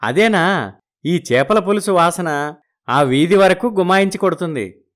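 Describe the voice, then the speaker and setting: A man's voice: sits high, expressive, unhurried, a bit bright. A man playing a genial, food-loving village brother-in-law in a moral story, effusive and full of exclamations. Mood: eager, agreeable.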